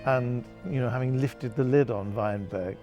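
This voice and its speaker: A man with a gravelly voice speaks reflectively in an interview, thoughtful and halting as he searches for his words. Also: mid-range voice, mellow, soft background music.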